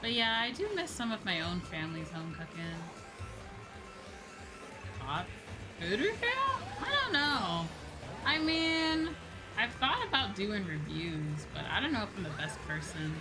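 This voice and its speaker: A woman chatting casually as a livestream host while gaming, expressive and free with swearing. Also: medium pitch, a little slow, low-quality recording. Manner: expressive, swears freely, mock-grumbling asides. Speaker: livestream host, virtual streamer. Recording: game music behind the voice. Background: video game music.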